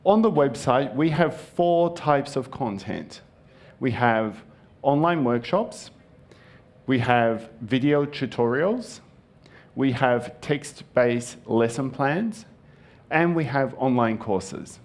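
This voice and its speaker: A man's voice: medium pitch, expressive, slow. A young man, a native Japanese speaker raised in Japan, giving an earnest, friendly conference presentation with frequent ums. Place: conference hall.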